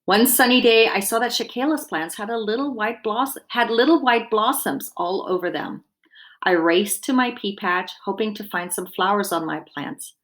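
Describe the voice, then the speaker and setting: Female storybook reader, mid-pitched and expressive, explaining things simply for young listeners. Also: moderate pace. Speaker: dietitian reading a children's book aloud. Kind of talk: storybook read-aloud with explanatory asides.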